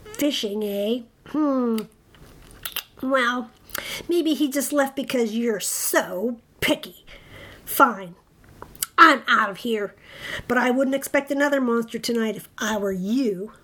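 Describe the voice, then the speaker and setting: A woman's voice: on the high side, slow pace, long pauses, sharp tone, exaggerated voice. Woman playing a big slobbery, pushy male monster. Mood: whiny, grumbling. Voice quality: slurping, slobbery. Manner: whiny, trying to sound terrifying.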